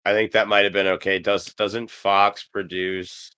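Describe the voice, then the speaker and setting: A man's voice: on the low side, flat delivery, unhurried, sharp tone, clear, resonant tone, no echo. A man joking around irreverently in a casual film commentary. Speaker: commentary track participant.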